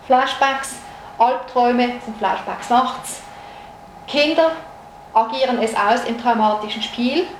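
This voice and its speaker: Female lecturer, Swiss-raised, explanatory, fairly high voice, roomy and noisy recording. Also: slow pace. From Switzerland. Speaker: psychologist giving a lecture. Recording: echoey room recording with noise.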